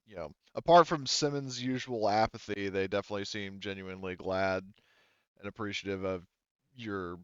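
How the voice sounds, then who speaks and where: A man running a tabletop game as game master, improvising narration in a casual, halting, conversational way on slightly dull audio. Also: on the low side, steady tone, smooth, no room sound.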